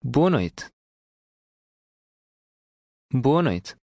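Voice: medium pitch, sing-song, extremely slow, gravelly, darkish tone, no room sound. A speaker modeling short phrases for a language course, carefully enunciated. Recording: dry studio recording.

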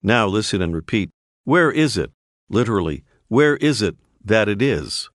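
Guy reading language lesson prompts, slow and lively, dry booth. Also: fairly low pitch, dry recording. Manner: clear, prompting the listener to repeat. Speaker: language course narrator. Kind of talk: scripted audio lesson instructions. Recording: dry studio voice-over.

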